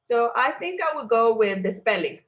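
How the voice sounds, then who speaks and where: A woman's voice: on the high side, animated, moderate pace. A woman teacher trainee thinks aloud about feedback, hesitating with many fillers. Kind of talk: discussion. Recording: dull video call audio.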